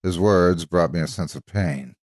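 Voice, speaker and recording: A man narrating a scripted dramatic story in a measured, expressive storytelling manner. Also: low-pitched, no echo, studio quality.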